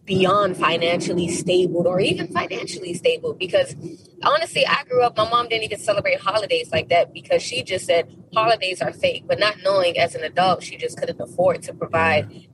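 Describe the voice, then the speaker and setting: An American woman from Miami speaking as a podcast guest in a casual interview, telling stories in an animated, emphatic, slangy way. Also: on the low side, fairly quick, noisy background. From Miami, United States.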